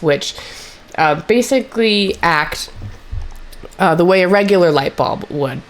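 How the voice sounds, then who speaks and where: A woman instructor narrating a screen-recorded software tutorial, still getting over a cold, explaining step by step with frequent fillers. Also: low-pitched. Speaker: course instructor.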